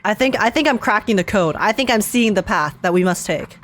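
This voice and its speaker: A woman reacting casually to a game on a livestream, with an excitable, giggly and playful manner. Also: medium pitch, a little fast, soft background music. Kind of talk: casual live gaming reaction.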